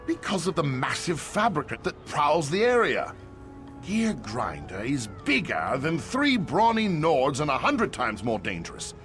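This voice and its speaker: A man voicing a weary, cautious old survivor in a fantasy video game, speaking gravely and with doubt. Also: on the high side, huge pitch swings, measured pace, fairly bright tone, heavily acted. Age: older. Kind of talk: AI-voiced fantasy game dialogue. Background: game music.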